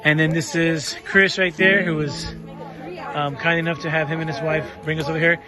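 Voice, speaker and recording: A man's voice: on the high side, level tone, moderate pace. Upbeat man interviewing outdoors, music. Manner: upbeat, friendly questioning, switches into Spanish. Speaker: vlogger interviewing families. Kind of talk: casual interview chat.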